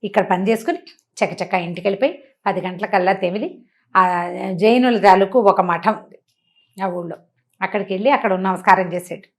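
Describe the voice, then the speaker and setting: A woman telling a moral tale in a conversational, animated way, putting questions to the listener and acting out dialogue. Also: medium pitch, a little fast, pauses often. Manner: conversational, uses rhetorical questions and acts out dialogue. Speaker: storyteller and motivational speaker.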